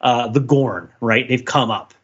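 A man with a bright, forceful voice talks casually as a podcast co-host. He circles around his points, backing up and rephrasing as he goes. The recording is a little dull and lo-fi. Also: medium pitch, husky. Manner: halting, digressive, self-correcting. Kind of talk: casual pop culture discussion.

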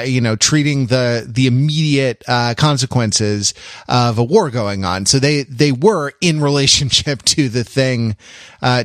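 A man's voice: mid-range voice, dry recording, studio quality. A middle-aged American man chatting analytically on a podcast, digressing and often searching for his words. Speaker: podcast panelist. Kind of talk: casual analytical chat.

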